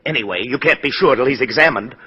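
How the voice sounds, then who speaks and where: An actor playing an excitable, fretful, obsequious store manager with a fussy manner, in 1940s radio acting style. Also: male voice, medium pitch, fast talker, breathy edge, lightly acted. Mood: fretful, wry. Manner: fussy, easily agitated. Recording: dull lo-fi 1940s radio broadcast.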